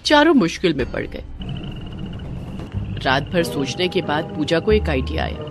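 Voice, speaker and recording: Woman storyteller, expressive and dramatic, with a lively voice over background music. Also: deep voice, normal speed. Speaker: storyteller voicing every character. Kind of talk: scripted children's story narration. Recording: music bed behind voice.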